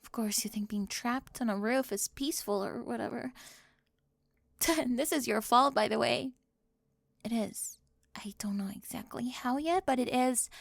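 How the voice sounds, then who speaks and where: A woman plays a prickly young cheerleader who needles and deflects with sarcasm, often slipping into a close whisper. Her voice sits a little high with a gravelly fry at the edges. The sound is dry and up close to the mic. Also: dry recording. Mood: snippy. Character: sharp-tongued young woman cheerleader bully. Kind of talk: ASMR roleplay.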